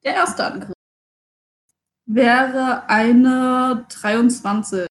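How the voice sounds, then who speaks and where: A woman's voice: a little high, fairly even intonation, drawn-out words, echoey room. A woman playing a tabletop game, chatting casually as she announces her moves.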